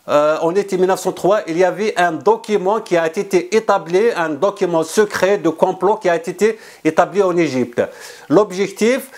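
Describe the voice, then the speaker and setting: A man speaking as an author in an interview, earnest and insistent, often restarting and repeating words. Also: sits high. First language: not French. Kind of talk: explanatory interview answers.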